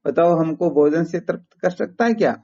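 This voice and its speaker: A man with a fairly high voice teaches spiritual philosophy over the phone. He explains methodically and poses rhetorical questions to his listener. The recorded call sounds dull and lo-fi. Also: moderate pace, warm tone, a little hiss or hum.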